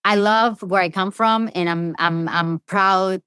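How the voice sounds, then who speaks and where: A woman's voice: mid-range voice, fairly even intonation, measured pace, a bit bright, smooth, no room sound, studio quality. A middle-aged woman with a Venezuelan accent, a native Spanish speaker, talking casually and at length as a podcast guest. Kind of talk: casual interview conversation.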